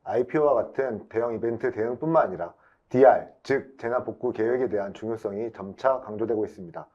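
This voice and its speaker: A man's voice: lowish voice, fairly quick, mellow, dull audio. A man giving a formal, explanatory prepared webinar presentation as an IT specialist.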